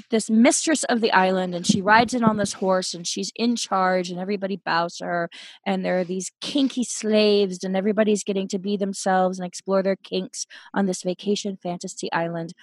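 A woman in her late thirties, casually telling a story as a podcast guest from her bedroom, chatty and quick to laugh. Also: medium pitch, no echo. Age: 30s. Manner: chatty, giggly, self-deprecating.

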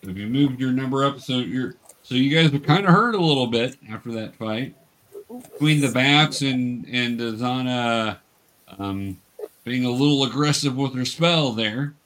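Male host and game master, mid-pitched voice, casual and patient explainer with halting delivery. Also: a bit bright, slight background noise. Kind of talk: casual explaining while running a tabletop game. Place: remote video call.

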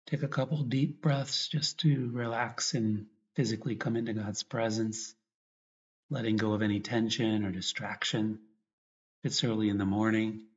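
A man, a priest, guiding a meditation and prayer in a reflective way, often pausing mid-thought. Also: on the low side. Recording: dull, lo-fi recording.

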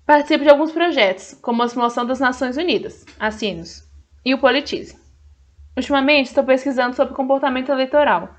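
High-voiced young woman hosting. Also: fairly quick. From Brasília, Brazil. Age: young adult. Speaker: podcast host. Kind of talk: casual podcast introduction.

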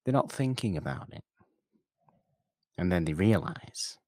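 A man with a very low, deep voice talks loosely about a classic movie, circling back and restarting his sentences. The sound is close and dry, with no room echo. He drifts between points in casual podcast chat. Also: a little slow. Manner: rambling, animated, self-interrupting. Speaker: podcast co-host. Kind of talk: casual film discussion.

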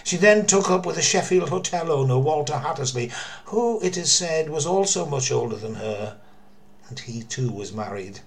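An older man narrating a true mystery story in a theatrical, deliberate way, with emphasis and dramatic pauses. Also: fairly high pitch, animated, vocal fry. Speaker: storyteller, former theatre worker.

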